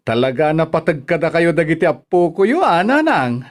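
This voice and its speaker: A man with an Ilocano Filipino accent playing a gentle, sorrowful single father in an audio drama. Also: on the high side, very animated, normal speed. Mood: warm, reassuring. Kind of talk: amateur radio-style drama acting.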